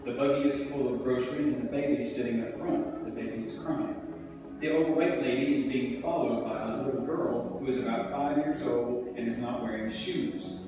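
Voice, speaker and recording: A man's voice: medium pitch, moderate pace, recorded from a distance. A man reading stage directions as scripted narration at a table reading. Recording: very echoey hall from afar, music.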